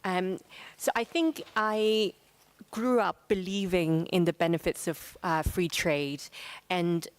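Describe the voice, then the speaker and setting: Lower-voiced young woman from Hong Kong. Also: fairly even intonation, smooth. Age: young adult. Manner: hesitant, measured, frequent pauses. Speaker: youth panelist. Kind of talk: informal panel discussion remarks.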